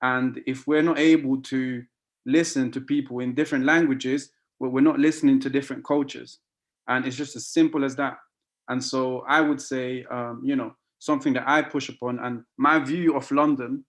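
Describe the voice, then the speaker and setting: A man whose first language is Spanish speaks over a video call in a flat, level voice. He is hesitant, with frequent fillers and restarts, and his pitch stays close to the middle. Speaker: meeting participant. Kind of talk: casual contribution to an online council meeting.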